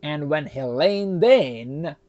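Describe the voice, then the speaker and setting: A woman's voice: low-pitched, animated, slow pace, warm tone, dull audio. A woman storyteller narrating a children's folktale expressively.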